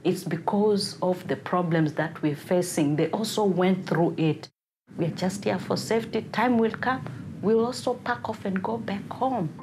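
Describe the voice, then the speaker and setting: A woman's voice: medium pitch, moderate pace, a little mellow, a little hiss or hum. A woman from Uganda with a Ugandan accent, giving spoken testimony as an interviewee. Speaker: interviewee, refugee.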